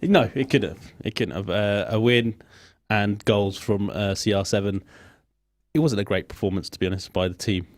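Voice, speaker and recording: Mancunian male co-host, low and dry, wry and laid back. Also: normal speed, no room sound. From Manchester. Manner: wry, laughing interjections. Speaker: radio co-host. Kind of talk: casual sports chat.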